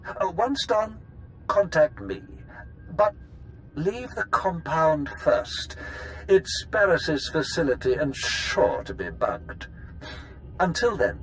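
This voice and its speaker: A man's voice: fairly high pitch, very animated, slow, pauses often, soft background music, rough recording. A man playing a scheming, courtly Sith lord in a video game, urbane and theatrical, savoring his words. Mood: sly, conspiratorial.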